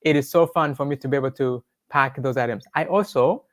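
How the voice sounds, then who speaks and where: A man's voice: mid-range voice, fairly quick, a bit bright, dry recording, studio quality. A man with a Rwandan accent shares his personal testimony as an interview guest, speaking in an earnest, devout storytelling way.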